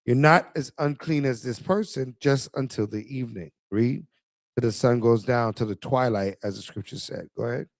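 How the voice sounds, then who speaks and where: A man's voice: medium pitch, normal speed, creaky, no room sound. Man teaching scripture, dry close sound. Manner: directs the reading, explains with repeated checks. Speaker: teacher leading the study. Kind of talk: casual Bible study teaching.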